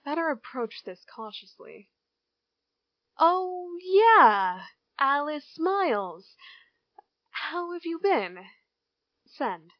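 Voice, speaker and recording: A woman playing a bored small-town teenage girl, voicing her thoughts in a slow, inward way. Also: high-pitched, very animated, no room sound, muffled recording, full character voice. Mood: wistful.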